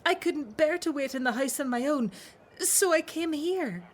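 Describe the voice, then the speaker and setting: Actress as high-voiced, anxious Hebrew wife. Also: female voice, animated, normal speed. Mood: fearful. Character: anxious Hebrew wife, fearful for her brother. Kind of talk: religious radio drama acting.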